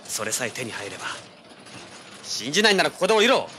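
A man's voice: mid-range voice, big pitch swings, fast talker, pushed and bright, a little hiss or hum. An actor playing a brash, driven young male treasure hunter in an audio drama, with intense dramatic delivery. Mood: impatient, excited. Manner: cocky, intense, rough casual speech. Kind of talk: dramatic first-person narration and dialogue, audio drama.

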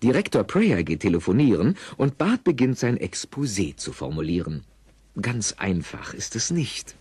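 A male storyteller with a low, husky voice narrates a radio play. He is wry and playful, with very animated intonation. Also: normal speed, no room sound. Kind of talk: scripted, playful audio drama narration.

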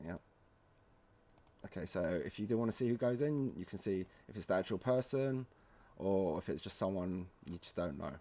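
A man with a fairly low voice presents a screen-recorded software tutorial. He talks informally and a bit haltingly, guiding the viewer through each step. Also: lively intonation. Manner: informal, halting, often checking in with "okay".

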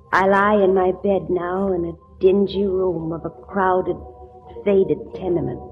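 An actress in an old radio drama playing a wistful, resigned young invalid in a tenement, speaking in an earnest, measured way. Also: female voice, medium pitch, natural voice. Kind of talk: 1940s radio drama acting. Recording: muffled old radio recording, music behind.

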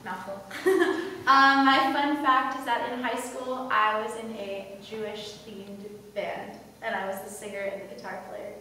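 A woman's voice: fairly high pitch, steady tone, a little slow, noisy background. A young woman giving a chatty self-introduction full of ums. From local to the area. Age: young adult. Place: large echoey hall. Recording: echoey hall with long reverb, far off.

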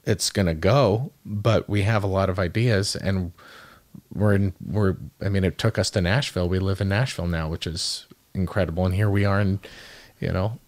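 Young man, musician guest, low voice, dry close sound, earnest, halting. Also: steady tone, darkish tone, no room sound. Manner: earnest, halting, many restarts. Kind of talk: casual interview chat.

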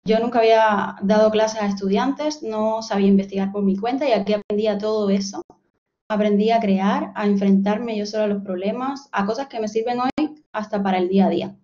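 A woman's voice: medium pitch, steady tone, fairly quick, warm tone. A woman in her thirties with a Cuban Spanish accent gives an online seminar from home, telling her own story in a friendly, personal way. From Cuba. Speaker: guest lecturer, postdoctoral researcher. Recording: dull lo-fi video call audio.

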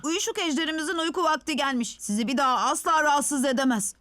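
A woman's voice: high voice, quick pace, fairly bright tone, slight background noise. A woman playing a cheeky, impulsive ten-year-old boy hero in a dubbed cartoon. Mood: pleased. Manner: cheeky, self-assured.

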